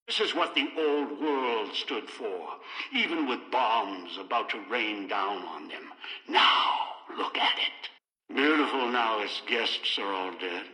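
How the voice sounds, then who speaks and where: A man's voice: medium pitch, sharp tone, exaggerated voice. A bitter, obsessive old male schemer from a video game. His voice is hard and piercing, coming through speakers with long hall reverb. He issues terse, menacing orders as if used to being obeyed. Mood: obsessive, gloating. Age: older. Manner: terse, imperious, ominous, clipped orders. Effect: voice over radio and speakers.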